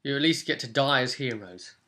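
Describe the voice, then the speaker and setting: Bright-voiced young man hosting casually. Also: mid-range voice, animated, a little fast. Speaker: host and game master. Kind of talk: casual chat about a tabletop game session. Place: small home room, indoors. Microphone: webcam at arm's length.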